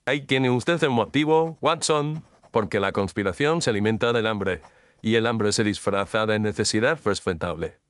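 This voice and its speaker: A man playing a calm, incisive detective in an audio drama, speaking in a measured, analytical way. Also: on the low side, dry recording, natural voice. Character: calm, incisive male detective, precise reasoner.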